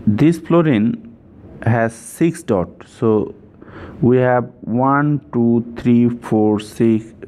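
A man's voice: medium pitch, very slow, warm tone. A man giving an informal step-by-step chemistry tutorial, repeating himself and often checking in with "right?".

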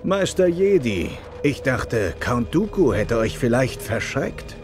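A man's voice: mid-range voice, big pitch swings, slow, music in the background. A man playing a dignified, skeptical alien king with a regal, wary manner.

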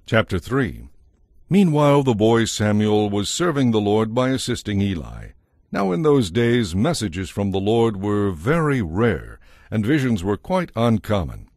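A man narrating a dramatized audio Bible as a scripted story, measured and solemn. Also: on the low side, big pitch swings, a little mellow, dry recording, studio quality.

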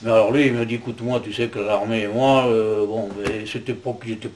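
A man's voice: lowish voice, steady tone, a little fast, darkish tone, slight background noise. An older man telling a family story and acting out his parents' words. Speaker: veteran interviewee.

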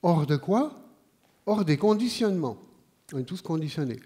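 A man in his sixties with a Swiss French accent lectures from an auditorium stage. His voice sits a little high for a man yet sounds mellow and warm. He talks slowly and deliberately, pausing often and filling the gaps with hesitations. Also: lively intonation, husky. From Switzerland. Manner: measured, unhurried delivery with frequent pauses and fillers. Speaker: conference speaker. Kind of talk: live conference talk.

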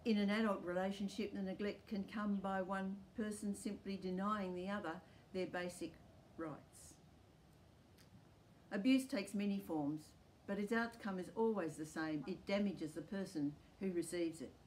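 An older woman with an Australian accent gives a calm, earnest home church talk outdoors in a rural backyard. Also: mid-range voice, normal speed, slight background noise. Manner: calm, earnest teaching delivery.

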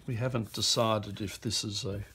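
A man presenting an auction lot, reading postcard captions aloud in short, unhurried bursts at a desk. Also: on the low side, steady tone, a bit bright, slight background noise. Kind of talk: reading out postcards while browsing. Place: indoor office desk.

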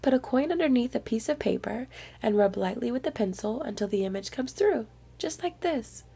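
A woman reading a picture book aloud to young children in an expressive storyteller's manner. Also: a little high, a little fast, creaky, slightly rough.

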